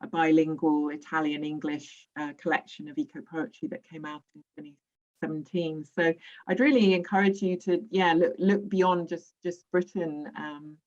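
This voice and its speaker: A woman poet answering questions in an online session, speaking in a thoughtful, measured way with frequent pauses. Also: low voice, flat delivery, darkish tone. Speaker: poet and workshop leader. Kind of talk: online talk and Q&A. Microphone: video call. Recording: lo-fi video call audio.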